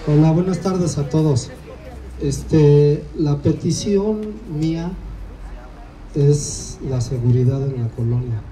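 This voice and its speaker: Slow, hesitant man. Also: on the high side, dark tone, rough recording. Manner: hesitant, slow, many fillers. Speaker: resident speaking at the assembly. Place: outdoor street assembly.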